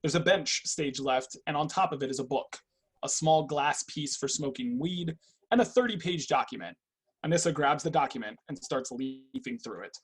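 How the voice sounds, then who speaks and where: Male stage directions reader, husky, slightly high voice, scripted narration. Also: expressive, moderate pace. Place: indoors on a video call.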